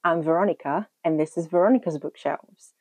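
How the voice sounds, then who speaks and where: A woman in her thirties from Brazil, Portuguese as her first language, talks casually into a camera at home. Her voice sits low and sounds mellow. The sound is dry, with no room echo. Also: brisk. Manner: chatty, thinking aloud with many ums and restarts. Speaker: book channel host. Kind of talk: casual book haul vlog. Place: room at home in front of a bookshelf.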